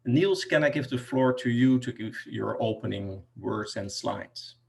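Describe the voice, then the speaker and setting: A man's voice: medium pitch, measured pace, gravelly, a little mellow. A man moderating an online panel, introducing guests with frequent fillers. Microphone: video call.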